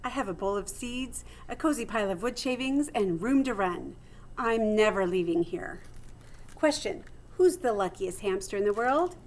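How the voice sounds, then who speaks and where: A woman's voice: medium pitch, animated, normal speed, natural voice. A woman voicing a small, plucky male hamster who reads his diary aloud theatrically and earnestly, as in a children's storybook. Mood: contented. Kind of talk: storybook read-aloud in first person.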